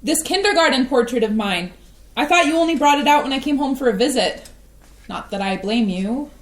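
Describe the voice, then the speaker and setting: An actress playing an earnest young adult daughter, an artist, who speaks calmly and a little hesitantly in a staged play reading. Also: female voice, high-pitched, a little fast. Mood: wry. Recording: echoey stage.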